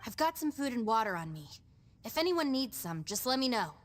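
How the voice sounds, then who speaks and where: A woman's voice: on the high side, big pitch swings, brisk, soft background music. An actress playing a kind, dutiful young woman in a video game, earnest and considerate. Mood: caring. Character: kind, capable, dutiful young female subordinate.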